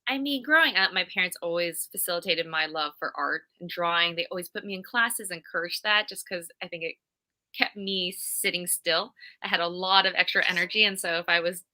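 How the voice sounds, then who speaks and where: A woman's voice: on the low side, brisk, sharp tone. A woman with a Californian accent, talking casually as a guest in an interview. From California, United States. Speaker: interview guest, creative director.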